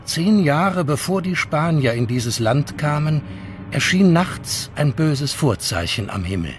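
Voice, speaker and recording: Slow, expressive man reading old chronicles. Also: a little high, soft background music. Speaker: reader of historical source texts. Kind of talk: dramatic scripted reading of chronicles.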